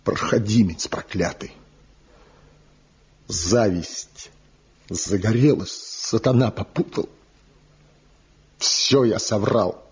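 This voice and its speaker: An actor playing a bitter, envious wandering craftsman in a theatrical radio drama, declaiming with impassioned, weighty pauses. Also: on the low side, husky, heavily acted. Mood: tormented confession. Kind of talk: theatrical Soviet radio play acting. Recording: muffled 1970 radio drama recording.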